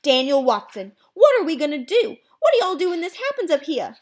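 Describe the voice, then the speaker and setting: Storybook mama, acted by a woman: Southern US accent, raised pitch, quavering, anxious, motherly. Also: sing-song, fairly quick. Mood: alarmed. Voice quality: shaky. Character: worried, tender Southern mother.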